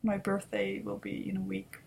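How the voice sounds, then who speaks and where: Soft-spoken young Dutch woman, hesitant. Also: mid-range voice, sing-song, normal speed, vocal fry, a little hiss or hum. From Netherlands. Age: 20s. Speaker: vlogger. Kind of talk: unscripted confessional vlog. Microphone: webcam.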